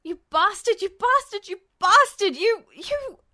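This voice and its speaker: A woman voicing a furious, hot-headed boy who shouts and sputters insults in an audiobook-style story reading. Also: very high voice, expressive, unhurried, voice pushed up, full character voice. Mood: furious, hurt.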